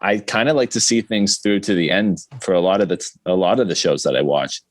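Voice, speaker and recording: A man's voice: low voice, fairly quick, no room sound. A man talking in a casual podcast discussion.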